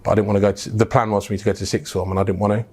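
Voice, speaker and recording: Low, flat-voiced English businessman. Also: racing, husky. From England. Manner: storytelling, frank, with fillers and restarts. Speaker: business founder, guest. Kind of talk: casual interview.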